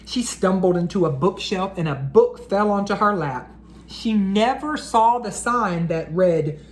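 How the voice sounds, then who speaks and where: A woman reading a children's picture book aloud in an expressive, unhurried storyteller's voice. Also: fairly low pitch, roomy sound.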